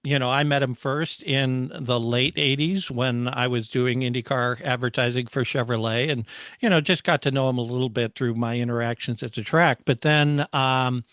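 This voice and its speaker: A man's voice: medium pitch, fairly even intonation, moderate pace, fairly bright tone, no room sound, clean studio sound. A middle-aged man hosting a podcast, telling stories in a casual, conversational and enthusiastic way. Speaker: podcast host.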